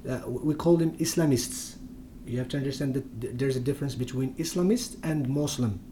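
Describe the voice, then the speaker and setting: A man's voice: mid-range voice, a little fast, dark tone, slight background noise. A young Moroccan man whose first language is Berber, speaking English in an interview, hesitant, with many fillers and restarts. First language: Berber (Tamazight). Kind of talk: conversational interview.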